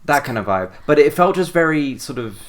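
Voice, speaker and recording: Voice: medium pitch, lively intonation, brisk. A podcast co-host chatting casually about games in a rambling, hedging way, with lots of filler.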